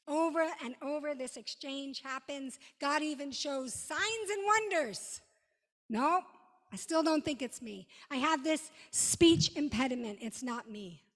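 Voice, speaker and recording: A woman rabbi delivers a sermon in a high voice. She speaks slowly and emphatically, leaving dramatic pauses between short, repeated phrases. The sound rings with the long reverb of a large hall. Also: fairly bright tone.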